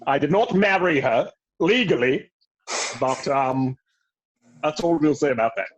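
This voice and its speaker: A cast member chatting casually on a group video call, playful and halting while answering questions. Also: mid-range voice, rough recording. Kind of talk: casual chat, anecdotes.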